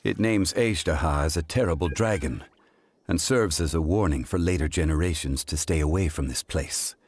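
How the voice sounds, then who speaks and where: An actor playing a calm, ancient, weary male god in a video game, speaking in a measured, formal, grave way. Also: a bass voice. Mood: grave, reflective.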